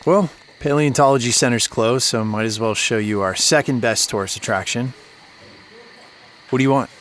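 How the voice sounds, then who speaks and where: A man's voice: mid-range voice, moderate pace, fairly bright tone, slight background noise, natural voice. A man playing a laid-back, sarcastic, worn-out radio DJ who teases his way through an audio drama scene. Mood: teasing, casual. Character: scruffy, sardonic broken-down male radio DJ. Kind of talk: naturalistic audio drama dialogue.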